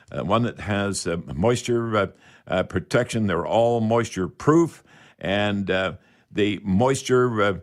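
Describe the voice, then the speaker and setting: A Canadian man hosting a home improvement radio show, talking in a folksy, emphatic way and repeating himself to drive points home. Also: lowish voice. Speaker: radio call-in show host. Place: radio studio.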